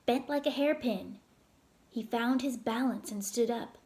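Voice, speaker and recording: A woman narrating a children's story aloud in a deliberate, expressive way. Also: a little high.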